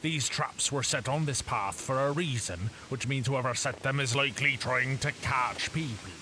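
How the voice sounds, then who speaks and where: A man's voice: mid-range voice, normal speed, pushed and bright, slight background noise, natural voice. An actor playing a sardonic, exasperated undead man in a fantasy audio drama, speaking in a dry, wordy way. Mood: exasperated, alarmed. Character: grumbling, sardonic undead male traveller with decaying body.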